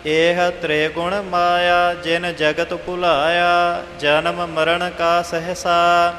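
A man chanting scripture in a slow, measured, steady recitation as a student. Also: high voice, in a large hall, faint music behind.

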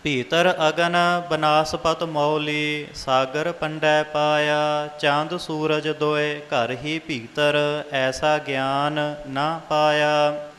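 A man reciting Sikh scripture in a measured, sing-song devotional chant. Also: a little high, level tone, fairly bright tone. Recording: long hall reverb, music behind.

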